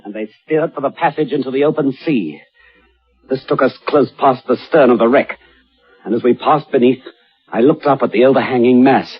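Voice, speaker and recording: An actor playing a haunted sailor, telling of horror at sea in a grave, theatrical, suspenseful way. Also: male voice, medium pitch, moderate pace, breathy edge, close to an everyday voice. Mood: rising horror. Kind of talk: dramatic radio horror narration. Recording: muffled old radio broadcast with music.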